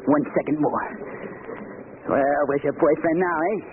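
An actor playing a menacing, gloating gangster with tough street slang in an old radio crime drama. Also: male voice, a little high, measured pace, natural voice. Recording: noisy, tinny old radio broadcast.

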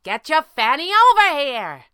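A woman's voice: high-pitched, big pitch swings, measured pace, pushed and bright, dry recording, studio quality, voice pushed up, heavily acted. A woman voicing a feisty, wisecracking young female superhero sidekick in an audiobook, brash and slangy. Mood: urgent, bossy.

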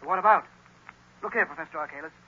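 An actor playing a brusque, suspicious army general in a 1930s radio drama. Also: male voice, fairly high pitch, fast talker, husky. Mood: suspicious, angry. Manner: brusque, commanding. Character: blustery, suspicious army general, authoritative man. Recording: noisy, muffled 1930s radio recording.

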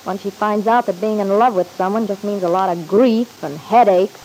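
A woman's voice: medium pitch, moderate pace, warm tone, close to an everyday voice, low-quality recording. An actress playing a guarded, wisecracking young woman in an old-time radio soap opera, with slangy, breezy talk. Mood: worried. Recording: hissy vintage radio recording.

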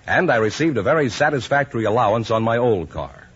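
An American man reads scripted sponsor copy as a fifties radio announcer. His mid-pitched voice is husky and rises and falls freely. The recording is muffled, like an old broadcast. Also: sing-song, quick pace, a bit bright. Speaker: announcer reading a sponsor letter. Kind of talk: scripted 1950s radio advertisement reading.

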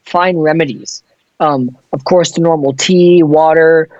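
A man's voice: fairly high pitch, unhurried, lo-fi sound, a crowd in the background. A man who sings in a touring band, chatting casually in a loose, rambling way with plenty of swearing. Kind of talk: casual chat.

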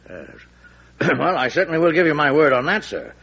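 An actor playing an evasive, uneasy American army general in a classic radio drama, hesitant and deferential, with an American accent. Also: male voice, a little high, big pitch swings, a little fast, fairly bright tone, full character voice. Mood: wary, noncommittal. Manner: hesitant, throat-clearing, deferential. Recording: muffled old radio recording.

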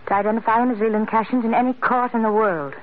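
A woman's voice: fairly high pitch, fairly even intonation, quick pace, lightly acted. An actress playing an anxious, pleading young woman who speaks earnestly and dramatically, in old-time radio acting style. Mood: earnest, insistent. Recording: tinny, noisy old radio recording.

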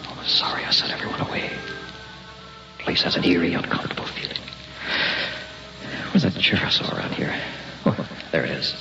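A man's voice: deep voice, animated, brisk, gravelly, pauses often. An actor playing a determined, brooding investigator and family man in an old-time radio drama, talking in terse, clipped phrases. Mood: weary, musing. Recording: muffled, roomy old radio recording with music.

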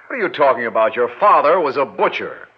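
An actor playing a pushy, impatient small-town mayor with a bossy manner, in a 1940s radio drama. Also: male voice, mid-range voice, big pitch swings, fast talker, husky. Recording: muffled old radio broadcast.

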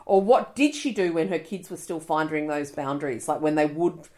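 A woman's voice: sits low, moderate pace, darkish tone. A middle-aged woman, co-hosting a podcast in blunt, playful chat with plenty of swearing. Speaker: podcast co-host. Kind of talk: casual chat.

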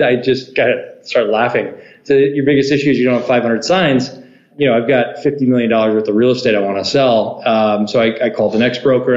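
A man, a company co-founder, telling his story as a guest in a casual interview with many fillers. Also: mid-range voice. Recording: echoey, dull remote line.

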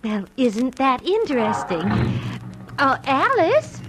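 A woman's voice: high-pitched, very animated, slow, heavily acted, poor audio. An actress playing a prim, fluttery, genteel lady employer in an old-time radio drama. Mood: flustered, fluttery.

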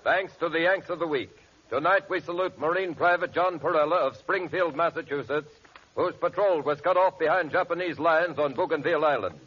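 A man's voice: on the high side, brisk, noisy background. A man with an American accent reading a scripted tribute in formal 1940s radio announcer style. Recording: dull lo-fi 1940s radio recording.

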